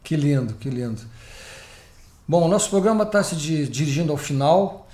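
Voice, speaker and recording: A man's voice: a little high, lively intonation, moderate pace, darkish tone, roomy sound. A man hosting a radio interview, with a friendly way of talking. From Brazil. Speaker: radio host.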